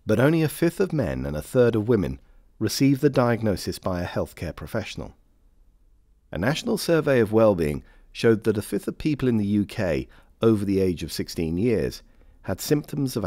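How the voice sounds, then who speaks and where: A man reading scripted informational narration for a training film in a measured, formal manner. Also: on the low side, sing-song, dark tone, no echo, clean studio sound. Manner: measured, formal, clearly phrased.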